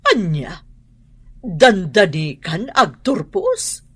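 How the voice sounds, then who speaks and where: A woman's voice: on the low side, huge pitch swings, extremely slow, husky, heavily acted. A woman with an Ilocano accent playing a worried, scolding middle-aged mother in a radio drama. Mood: probing, agitated.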